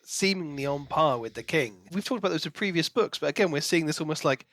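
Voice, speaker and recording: A man's voice: fairly high pitch, animated, quick pace, fairly bright tone, no echo. A man co-hosting a podcast, casually discussing a novel and musing aloud in questions. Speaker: book podcast co-host.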